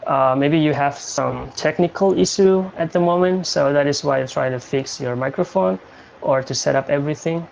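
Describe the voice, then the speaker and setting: A young man from Indonesia hosting a casual livestream chat, talking in a halting way with many fillers and restarts. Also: on the high side, creaky, slight background noise. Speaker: livestream host.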